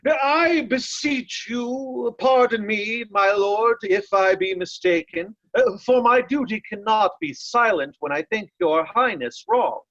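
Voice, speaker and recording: Man playing a loyal disguised nobleman, high-pitched, muffled. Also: slow pace, few pauses, a bit bright, no echo. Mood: deferential, candid. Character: loyal, blunt banished nobleman disguised as servant. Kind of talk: readers' theatre Shakespeare acting. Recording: dull, lo-fi, dry sound.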